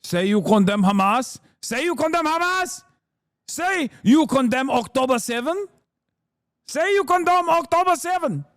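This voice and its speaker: A man's voice: very high-pitched, animated, measured pace, no echo, clean studio sound, voice pushed up, exaggerated voice. A man voicing a pushy male official in a mock Israeli accent, barking demands in broken English. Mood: mocking, shouting. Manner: shouting demands and accusations over and over.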